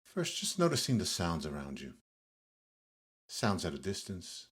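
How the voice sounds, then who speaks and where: Male meditation guide, low and a little breathy-rough, delivering yoga nidra instructions in measured, pause-filled phrases. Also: wildly swooping pitch, fairly bright tone.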